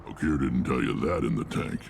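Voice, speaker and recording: A man voicing a gruff, battle-worn alien warlord in a video game, commanding and wry, with a blunt, measured delivery. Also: a little mellow, slight background noise. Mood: proud, commanding. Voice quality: very deep, gravelly. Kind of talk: video game character acting.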